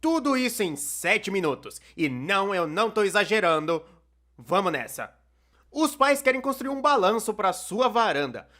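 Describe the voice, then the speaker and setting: A narrator with a Brazilian accent gives scripted cartoon commentary in an expressive, conversational, comically emphatic way. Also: medium pitch, moderate pace. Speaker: narrator of a cartoon opinion video. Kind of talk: scripted animated commentary video narration, fan dub.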